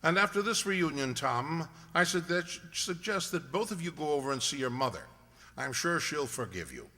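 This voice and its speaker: A man acts a confident gentleman detective in a radio play. His voice is fairly high and extremely bright, ringing in a hall. He speaks briskly and articulately, used to giving orders. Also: very animated, full character voice. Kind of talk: radio drama acting. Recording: reverberant hall sound.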